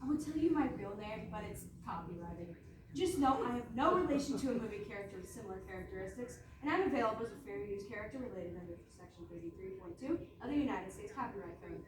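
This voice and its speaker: A woman playing a brisk, guarded snow queen who is nervous under a cool front, in a comic stage play. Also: medium pitch, lively intonation, close to an everyday voice. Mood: chirpy, officious. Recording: very echoey hall, far mic, noisy.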